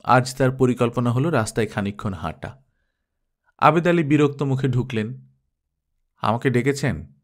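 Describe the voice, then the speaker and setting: Guy narrating an audiobook, mid pitched voice, scripted story reading. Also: animated, moderate pace.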